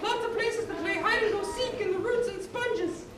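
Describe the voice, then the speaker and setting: A woman's voice: very high-pitched, normal speed. A woman voicing a friendly, timid baby bat ray with a childlike, eager manner in a children's puppet show. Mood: cheerful. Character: friendly, timid six-month-old baby bat ray named Rodrigo. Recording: very echoey, distant, noisy live recording.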